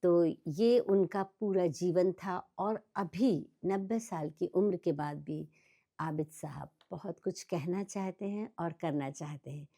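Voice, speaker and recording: A woman's voice: lowish voice, slow, mellow. A woman with an Indian accent hosts an interview podcast conversationally, speaking to her guest and to listeners. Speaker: podcast host.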